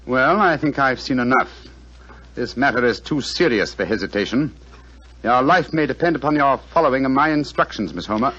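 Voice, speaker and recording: A commanding British detective in a 1940s radio play. His delivery is clipped and incisive, with a very animated melody and a slightly rough edge. The old recording sounds muffled. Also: mid-range voice, normal speed. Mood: grave. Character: keen, commanding male detective, grave and analytical.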